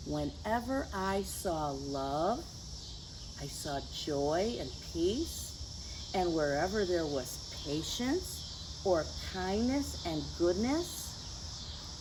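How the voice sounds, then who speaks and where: Slow, very expressive woman reading to children. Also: medium pitch, noisy background. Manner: gentle teacherly, addressing young children with questions and asides. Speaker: children's story time host and reader. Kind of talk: picture book read-aloud for children.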